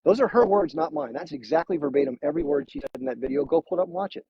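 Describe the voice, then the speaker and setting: Fast-talking gravelly male streamer who pushes hard in debate; muffled, noisy live feed. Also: fairly high pitch, animated. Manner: forceful, insistent, talks over the caller. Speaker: livestream host.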